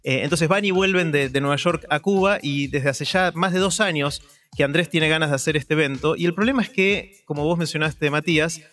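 A man with an Argentine Spanish accent, a radio columnist, telling a story in a lively, casual way and often filling pauses with "eh". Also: on the high side. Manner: storytelling, animated, with filler "eh".

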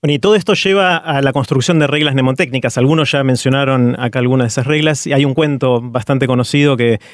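A man, a radio columnist telling a joke in a relaxed storytelling way. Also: medium pitch, fast talker, dry recording, studio quality.

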